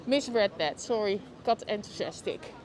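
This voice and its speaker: A woman's voice: medium pitch, expressive, normal speed. A woman with a Dutch accent hosting a live walking tour outdoors, chatting with her viewers and reacting to what she passes. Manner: chatty, reacts aloud, talks to viewers by name. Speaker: livestream walking-tour host. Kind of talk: casual live walking commentary. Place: outdoors on city streets. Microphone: lapel mic while walking. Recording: street noise behind.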